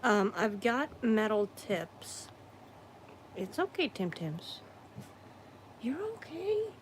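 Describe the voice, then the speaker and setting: Hoarse woman in a slow, rambling chat. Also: on the high side, sing-song, a little hiss or hum. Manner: rambling, with frequent throat-clearing and self-corrections. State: hoarse, losing her voice. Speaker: craft streamer. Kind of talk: casual livestream chat while crafting.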